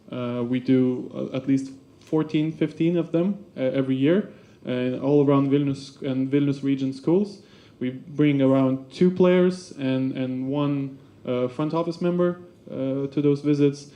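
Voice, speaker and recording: A man giving a seminar presentation for a basketball club, halting with many fillers and restarts. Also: fairly high pitch, mellow.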